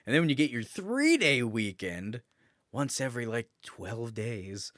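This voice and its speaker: A man's voice: medium pitch, very animated, measured pace, fairly bright tone, no echo, studio quality. A man talking casually as a podcast co-host, informal and mildly profane as he swaps stories. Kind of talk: casual chat, swapping work stories.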